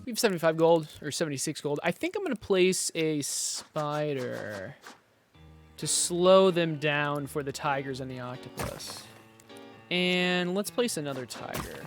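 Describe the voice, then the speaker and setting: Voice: medium pitch, expressive, a little slow. Game dev casually walking thru their game, fixes own words as they go, music playing behind. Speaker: game developer demoing own game. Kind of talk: casual gameplay commentary.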